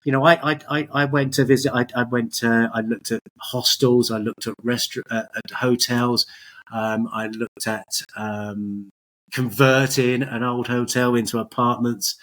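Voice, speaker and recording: A man's voice: medium pitch, steady tone, measured pace, fairly bright tone. A middle-aged man chatting as an interview guest, telling his story in a hesitant, rambling, self-correcting way. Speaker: podcast guest, business owner.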